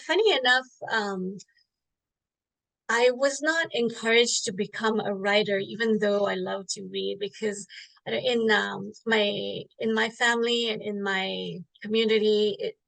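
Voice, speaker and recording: Gravelly-voiced woman author from Singapore. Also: mid-range voice, low-quality recording. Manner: thoughtful, many fillers and restarts. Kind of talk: interview answer, casual storytelling.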